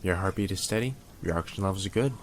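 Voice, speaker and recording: A man acts as a calm surgical medic with a low voice, steadily reassuring a patient in an ASMR roleplay. Clear background noise sits behind him. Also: very fast. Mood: calm, soothing. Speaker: voice actor in an audio roleplay.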